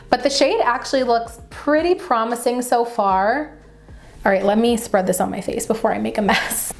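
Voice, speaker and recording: A woman beauty vlogger chatting casually and enthusiastically to camera, with a slightly dry, raspy voice from getting over the flu. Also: fairly high pitch. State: getting over the flu, mild sore throat. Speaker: beauty vlogger, channel host.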